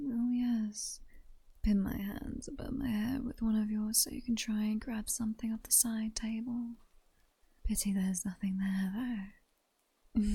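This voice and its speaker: Woman acting as a vampire queen, British accent, very breathy whisper, mellow tone, mocking, sultry, commanding, ASMR roleplay. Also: mid-range voice, full character voice. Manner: slow, teasing, sultry and commanding. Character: seductive, mocking vampire queen toying with her captured hunter.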